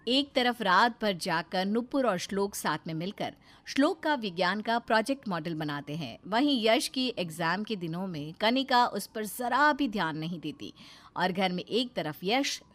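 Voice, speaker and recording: A woman's voice: mid-range voice, sing-song, unhurried, a bit bright. A woman narrating a scripted cartoon family story as storyteller.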